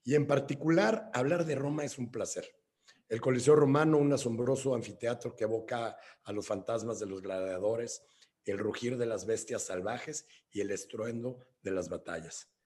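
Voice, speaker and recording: A man's voice: mid-range voice, normal speed, a little mellow. A man hosting a webinar, reading a formal descriptive introduction. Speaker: webinar host.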